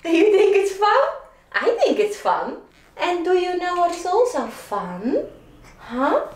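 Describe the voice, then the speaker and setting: Giggly high Dutch woman vlogging. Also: wildly swooping pitch, takes it slowly. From Netherlands. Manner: playful, giggly, self-mocking. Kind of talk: casual vlog chat. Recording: echoey room, faint background noise.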